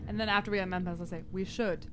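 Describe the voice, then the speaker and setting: A woman's voice: on the low side, fairly even intonation, fast, a bit bright, soft background music. A woman playing a tabletop role-playing game, speaking casually as she describes and acts out her turns. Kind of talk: casual improvised game talk and banter.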